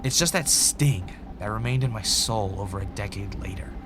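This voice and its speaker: An actor playing a bitter, self-justifying former reality show winner turned podcaster, delivering a reflective confessional monologue with dramatic pauses. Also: male voice, lowish voice, music in the background, close to an everyday voice. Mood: bitter, resolute. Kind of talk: confessional first-person scripted audio drama monologue.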